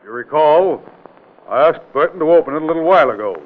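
A man acting as a hard-driving investigator in an old radio crime drama. His voice sits fairly high for a man and pushes with brusque authority. The sound is thin and band-limited, like a vintage broadcast. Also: expressive, fast talker, phone-quality sound, natural voice. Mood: accusing, insistent. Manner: authoritative, brusque, insistent rhetorical questioning. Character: forceful, commanding male state investigator exposing a killer.

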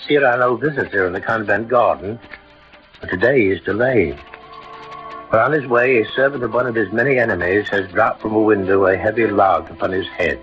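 Narrator for old radio play: man, low and solemn, scripted storytelling over soft music, dull aged sound. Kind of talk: scripted 1940s-50s radio drama narration. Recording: muffled old radio recording. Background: faint music.

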